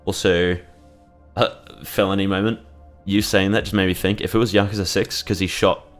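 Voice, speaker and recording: A man with a very low voice is commentating on his game stream, rambling casually and throwing in sarcastic jokes. Soft music plays quietly in the background. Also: little pitch movement, normal speed. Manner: joking, rambling, sarcastic asides. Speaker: gaming streamer.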